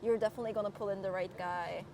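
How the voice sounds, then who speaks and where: A candid woman in her twenties from Turkey chats openly in an interview, leaning on "like" as she thinks aloud. Her voice sits at a middling pitch. Clear background noise runs under the recording. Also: fairly even intonation, very fast. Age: 20s. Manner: candid, frequent "like" fillers. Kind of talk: casual interview chat. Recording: noisy background.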